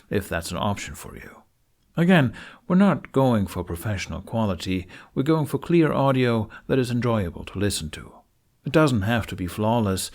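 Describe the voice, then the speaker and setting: A man's voice: on the low side, a little mellow. A man narrating a scripted tutorial in an informal, reassuring way with wry asides, as host. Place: carpeted living room with curtains.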